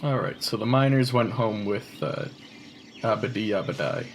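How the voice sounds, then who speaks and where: A man's voice: on the low side, moderate pace, slight background noise. A man reading video game dialogue aloud.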